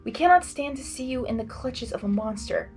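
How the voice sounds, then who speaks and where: Woman as an earnest, sensible high-voiced pony. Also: expressive, a little fast, darkish tone, faint music behind. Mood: serious. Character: earnest, sensible, protective young female pony.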